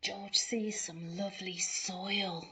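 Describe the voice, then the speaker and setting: A woman reading a picture book expressively to young children, theatrical, asking the listener questions with hushed asides. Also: mid-range voice, fairly even intonation, takes it slowly. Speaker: primary school storyteller.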